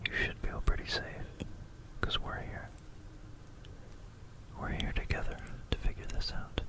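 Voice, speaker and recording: Asmr host whispering history real slow, breathy, wanders off into little playful asides, close on the mic. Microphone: close binaural microphone.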